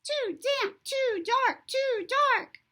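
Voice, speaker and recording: A woman voicing a small, timid mouse from a picture book, squeaky and speaking in short, clipped phrases. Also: lively intonation, slow, bright, forceful tone, full character voice. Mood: anxious. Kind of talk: picture book read-aloud.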